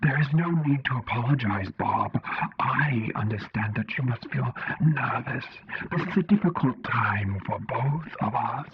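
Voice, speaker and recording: A man's voice: mid-range voice, animated, measured pace, dull audio, very noisy. A man voicing a solemnly polite, tentacled alien creature who speaks in a stilted, formal, literal way in an audio story. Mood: earnest, grave.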